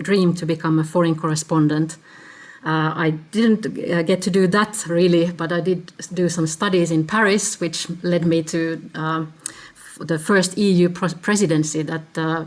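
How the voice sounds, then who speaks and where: A Finnish woman in her fifties with a Finnish accent, a podcast guest explaining her career in a measured way, stopping now and then to find the word. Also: deep voice, darkish tone. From Finland. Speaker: podcast guest, agency CEO. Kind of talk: conversational interview answers.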